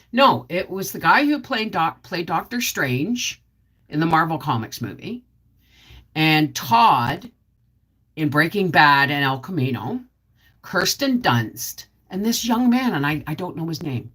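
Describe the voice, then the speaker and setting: A woman's voice: on the low side, fairly bright tone. A middle-aged woman chatting casually on a live stream, rambling and answering viewer comments as she goes. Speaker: homestead channel host.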